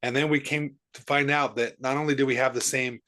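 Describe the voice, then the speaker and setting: A man's voice: medium pitch, steady tone, brisk, pushed and bright. A middle-aged man from the Seattle area hosting a casual interview, talking in a friendly, rambling way with many restarts. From Seattle area, USA. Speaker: podcast host.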